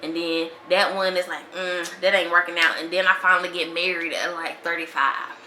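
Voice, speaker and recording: A woman's voice: lowish voice, steady tone, moderate pace, pushed and bright. A woman, talking casually and with animation as a podcast host in a slangy monologue. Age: 20s. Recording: echoey room with faint noise.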